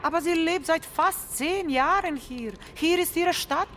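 A woman's voice: very high-pitched, unhurried, fairly bright tone, slight background noise. A woman playing an insistent female lawyer who argues urgently for her client in a radio play. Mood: urgent, pleading. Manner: urgent, protesting.

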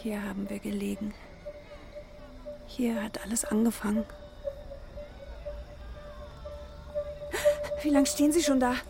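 A woman's voice: mid-range voice, brisk, vocal fry, very noisy, natural voice. An actress in a radio drama playing a distraught, fragile woman searching for her missing husband. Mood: startled, hushed. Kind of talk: dramatic German radio play acting.

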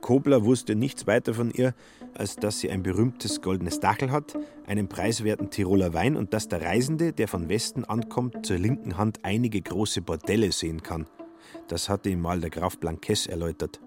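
A man narrating a literary radio play in a measured, wry storytelling manner. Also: fairly low pitch, over music.

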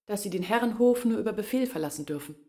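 A woman's voice: medium pitch, animated, fast talker, mellow, lightly acted. Matter-of-fact village woman played by an actress for literary radio drama, middle register, explanatory.

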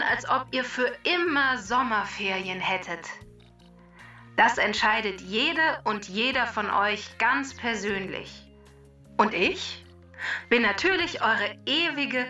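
A woman's voice: on the high side, bright, forceful tone, far from the mic, exaggerated voice. A woman voicing an immortal talking database in a children's podcast, theatrical and emphatic as she explains and poses quiz questions. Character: immortal talking database, female quiz-giving know-it-all machine. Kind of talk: scripted explaining and quizzing for children.

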